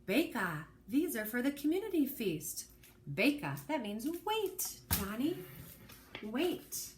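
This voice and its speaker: A woman voicing a gentle, patient storybook grandmother, speaking in a soft, instructive manner. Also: fairly high pitch, very animated, slow, noisy background. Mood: gently firm.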